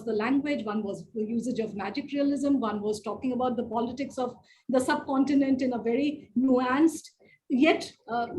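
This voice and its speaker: A woman's voice: sits high, a little mellow, slight background noise, rough recording. A woman in a literature discussion, answering questions politely with many fillers and restarts. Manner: polite, addresses others as ma'am.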